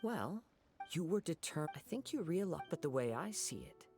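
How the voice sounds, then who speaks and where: A man playing a calm, perceptive young swordsman in a video game, speaking in a composed, thoughtful, formal way. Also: fairly high pitch, normal speed, music in the background. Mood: measured. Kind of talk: scripted video game voice acting.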